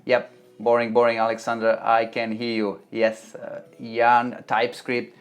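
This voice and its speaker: A man's voice: mid-range voice, completely flat delivery, a little slow, clear, resonant tone, soft background music. A man from the Czech Republic with a Czech accent, hosting a live stream and chatting through viewer questions about web development tutorials, often restarting his sentences. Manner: chatty, reads chat aloud, frequent restarts. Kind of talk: live stream Q&A, answering chat. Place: home office, Melbourne.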